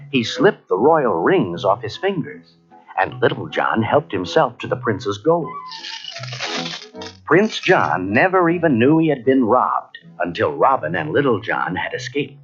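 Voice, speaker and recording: Animated male storybook narrator. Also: medium pitch, unhurried. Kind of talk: scripted children's read-along narration. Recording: dull cassette, faint music behind.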